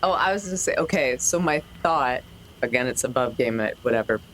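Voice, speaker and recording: A woman's voice: very deep voice, lively intonation, a little fast, a bit bright. A woman playing a tabletop role-playing game, chatting casually about her moves. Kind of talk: casual chat. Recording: faint background noise.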